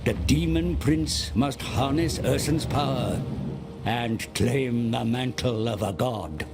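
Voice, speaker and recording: A scheming male advisor, offers dark counsel in a fantasy game cinematic. He speaks slowly and formally, persuasive and full of portent, over clear background music. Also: medium pitch. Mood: solemn counsel. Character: scheming male guide and advisor to a demon prince. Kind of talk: dramatic game cinematic narration. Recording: music behind the voice.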